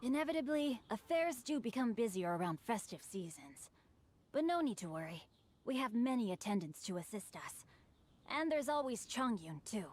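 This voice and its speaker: A woman's voice: on the high side, very animated, normal speed. A woman voicing a polite, slyly composed young scholar boy in a video game, speaking in a formal, eloquent way. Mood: sly, smooth. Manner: formal, eloquent, proverb-quoting. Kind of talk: anime-style video game acting.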